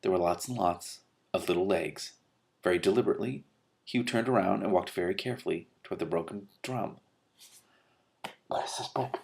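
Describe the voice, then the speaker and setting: A man hosting an expressive read-aloud of a novel, breaking off for casual, candid reactions. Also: sits low, brisk, creaky, long pauses. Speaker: host reading a novel aloud with commentary.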